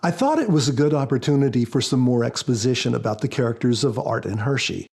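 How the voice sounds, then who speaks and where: Gravelly, husky man explaining his work. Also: medium pitch, expressive, fast talker, darkish tone. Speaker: author interviewed as guest. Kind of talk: explanatory podcast interview.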